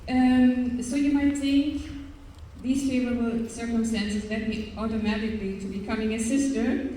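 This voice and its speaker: A woman with a German accent, speaking in a mellow, somewhat high voice. She gives her testimony earnestly and hesitantly, pausing often. Her voice reaches the mic from a distance in a large hall with long reverb. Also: steady tone, a little hiss or hum. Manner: earnest, hesitant, with frequent pauses and fillers. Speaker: religious sister giving a testimony. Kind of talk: personal faith testimony to young people. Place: large hall at a youth event. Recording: echoey hall, voice from far off.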